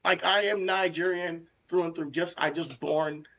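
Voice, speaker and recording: A slightly high-voiced man talks bluntly in a relaxed podcast chat. He gets animated, restarts his sentences and repeats words as he pushes his point. The audio sounds dull and lo-fi. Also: normal speed, slight background noise. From United States. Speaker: podcast co-host. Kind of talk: casual chat.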